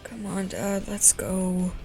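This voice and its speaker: Creaky-voiced, weary, defiant daughter. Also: female voice, medium pitch, animated, takes it slowly, dark tone, soft background music. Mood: pleading, tired. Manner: sardonic, pleading. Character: weary, defiant daughter travelling with her father. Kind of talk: audio drama acting.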